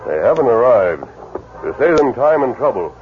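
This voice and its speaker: A man's voice: mid-range voice, big pitch swings, faint music behind, natural voice. A man playing a commanding masked western hero. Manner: firm, measured, authoritative. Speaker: actor playing the Lone Ranger. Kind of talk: 1950s radio drama acting. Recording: tinny old radio broadcast.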